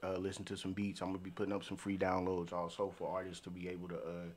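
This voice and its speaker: A man's voice: sits low, completely flat delivery, quick pace, slight background noise. A man who makes music, casually telling podcast listeners where to find his work, with music in the background. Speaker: music artist and producer, podcast guest. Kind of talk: casual plug of own music.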